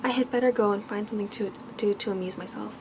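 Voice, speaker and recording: A woman voicing a sweet, trusting young maiden in a soft, musing way for a bedtime fairy tale. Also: medium pitch, steady tone, fast talker, background noise, natural voice. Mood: wistful, puzzled.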